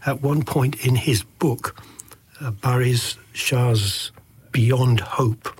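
A man hosting a podcast, his voice husky yet deep and comforting. He asks long, winding questions in a measured, thoughtful way. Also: no room sound. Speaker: podcast host. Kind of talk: interviewing a guest.

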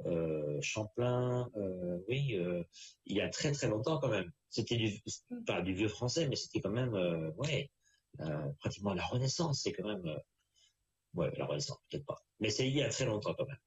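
Low-voiced middle-aged man hosting. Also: big pitch swings, normal speed, husky, dull audio. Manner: repeats words, rambling, hesitant phrasing. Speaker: interviewer, show host. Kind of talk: casual, friendly interview.

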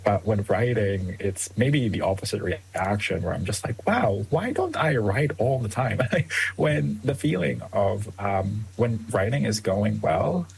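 A young man from the Philippines, first language Tagalog, answering interview questions as a guest author, with many ums and soft laughs. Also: lowish voice, expressive, measured pace, creaky, husky, poor audio.

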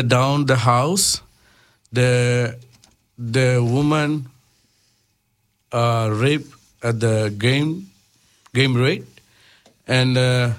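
Slow, hesitant man from Myanmar. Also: mid-range voice. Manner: hesitant, halting English. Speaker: health navigator guest. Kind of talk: radio interview answers.

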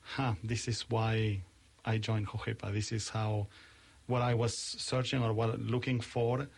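A male interviewer with a gravelly, fry-edged voice. His pitch stays flat and even. He speaks haltingly, with many uhs. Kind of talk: podcast interview.

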